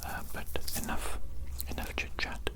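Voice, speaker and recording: A performer voicing a courteous but menacing evil doctor in a whispered, unhurried ASMR roleplay. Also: natural voice. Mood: clinical, mock-polite. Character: polite, sinister evil mad doctor captor.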